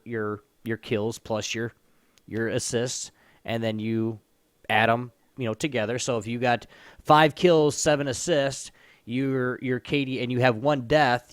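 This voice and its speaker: A man co-hosts a gaming stream in a mid-pitched voice with a dry sound free of room echo. He talks casually about esports, swearing and often repeating himself.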